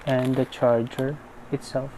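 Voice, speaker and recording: Male unboxing narrator, mid-pitched, unhurried, casual and tentative; noisy recording. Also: little pitch movement, dark tone. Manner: tentative, thinking aloud. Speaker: unboxing video maker. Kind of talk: casual unscripted product unboxing commentary. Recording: clearly noisy background.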